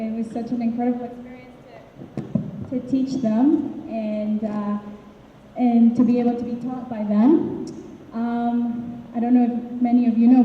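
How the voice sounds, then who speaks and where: Tearful teacher's farewell in an echoey hall. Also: female voice, fairly high pitch. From Peru. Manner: hesitant, many restarts and fillers. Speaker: departing Hebrew school teacher. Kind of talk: emotional farewell speech. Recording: echoey hall, tinny phone-like sound, faint hiss.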